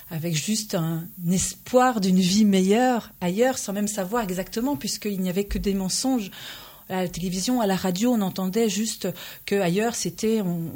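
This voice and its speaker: A woman from Belgium, a playwright and stage director, answering interview questions in an earnest, measured way with frequent pauses. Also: medium pitch, darkish tone.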